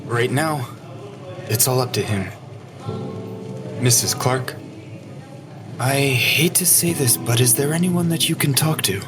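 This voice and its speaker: A man's voice: medium pitch, measured pace, long pauses, natural voice. A man playing a grave, compassionate hospital doctor in an audio drama, speaking solemnly and with measure. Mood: grave, sympathetic. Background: music.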